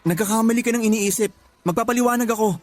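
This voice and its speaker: A man's voice: sits high, fairly even intonation, rapid-fire, darkish tone, close to an everyday voice. A young man acting a defensive, confused boyfriend pleading in a radio drama. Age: young adult. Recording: music behind.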